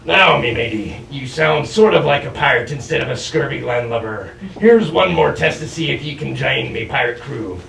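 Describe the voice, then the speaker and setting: A man's voice: mid-range voice, animated, moderate pace, gravelly, few pauses, a bit bright. A man playing a blustering, bossy pirate captain in a children's stage play, growling and barking exclamations in pirate dialect. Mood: menacing. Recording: roomy stage, distant mic, faint noise.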